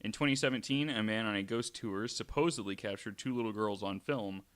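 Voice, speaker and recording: A man's voice: on the low side, brisk, a bit bright. A man co-hosting a podcast, talking informally and wryly between casual chat and reading out stories. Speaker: podcast co-host.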